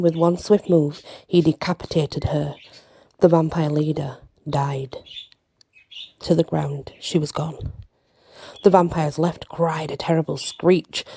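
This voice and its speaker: A woman reading an ASMR bedtime fantasy story with deliberate, dramatic phrasing and short pauses, close to the mic. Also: sits low, mellow, breathy edge.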